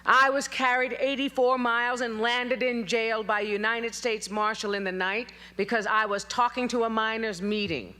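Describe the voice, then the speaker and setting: An actress playing a feisty, defiant elderly female union organizer rallying miners in a forceful, wry, declamatory dramatic reading. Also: a little high, steady tone, moderate pace, few pauses. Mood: rousing, defiant.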